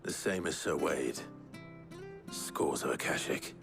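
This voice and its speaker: Deep-voiced, weary outlaw lord. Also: male voice, vocal fry, over music, exaggerated voice. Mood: purposeful. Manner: grave, measured, understated. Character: weary, brooding outlaw lord, a former knight. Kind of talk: video game drama acting.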